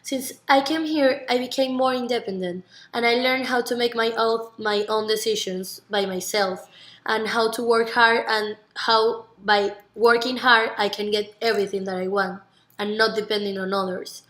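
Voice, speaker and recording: A woman's voice: on the high side, steady tone, moderate pace, a bit bright. Young Venezuelan woman in an echoey room. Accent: Venezuelan Spanish. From Margarita, Venezuela. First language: Spanish. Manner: earnest, non-native English phrasing with stumbles on words. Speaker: university student being interviewed. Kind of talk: rehearsed interview self-presentation. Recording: echoey, roomy sound.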